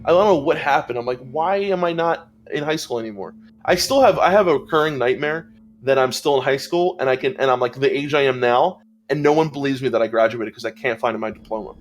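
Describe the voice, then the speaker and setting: A man in his thirties co-hosting a casual gaming chat show, lively, laughing and acting out quotes. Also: mid-range voice, a little fast. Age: 30s. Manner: animated, acts out quotes, laughs often. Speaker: podcast co-host. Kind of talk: casual group chat on a live stream.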